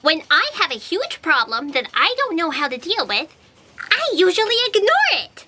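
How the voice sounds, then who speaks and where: Chirpy naive underling, extremely high voice, bright, swooping intonation, comic audio drama. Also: female voice, wildly swooping pitch, moderate pace, full character voice. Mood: eager. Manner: eager, guileless. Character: chirpy, naive, hapless female cafeteria underling.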